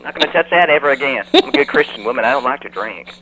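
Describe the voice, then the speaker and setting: A man's voice: medium pitch, animated, very fast, crowd noise, natural voice, poor audio. A man playing a gushing Southern church mom. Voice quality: gushing, folksy, rambling, says "y'all". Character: chatty middle-aged Southern churchgoing mother. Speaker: radio caller. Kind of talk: phone-in radio chat.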